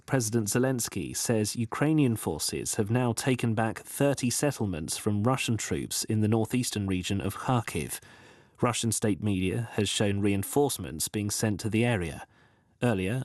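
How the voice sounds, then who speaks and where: Male newsreader, lowish dry voice. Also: darkish tone, dry recording. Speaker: news presenter. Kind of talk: scripted news bulletin reading.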